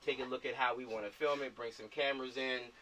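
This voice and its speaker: A bright-voiced American man chats casually, organizing plans like a director. He circles back over his points and keeps checking that listeners follow. He sounds a little far from the mic on a video call. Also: medium pitch, fairly even intonation, fast. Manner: repeats himself, often seeks agreement. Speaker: film director organizing a shoot. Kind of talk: casual planning chat on a group video call.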